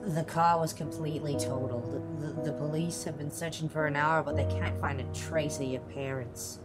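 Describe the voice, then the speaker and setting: A man playing a solemn, apologetic school principal in an amateur radio play, speaking in a halting, formal way. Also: a little high, steady tone, over loud music, natural voice. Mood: grave, sorry. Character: solemn, apologetic school principal, an adult breaking bad news.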